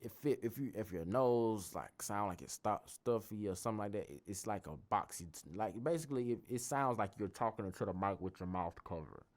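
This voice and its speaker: A young man with a very low, bassy voice, hosting a relaxed tutorial. His delivery is loose and rambling, looping back and restarting phrases as he works things out aloud. He sounds conversational rather than scripted. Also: brisk, hardly any pauses. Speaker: tutorial host. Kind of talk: casual screen-recorded tutorial.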